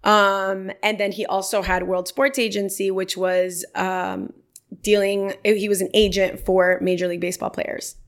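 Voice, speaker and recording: A woman's voice: medium pitch, flat delivery, normal speed. A woman in her thirties, telling stories in a casual interview and livening them up when quoting people. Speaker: podcast guest.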